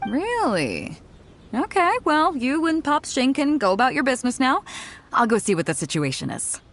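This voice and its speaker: A confident female ship captain from a video game, assured and fair-minded. Her voice sits a little high and moves with lots of swing, over clear background music. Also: huge pitch swings, moderate pace, exaggerated voice. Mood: casual, decisive.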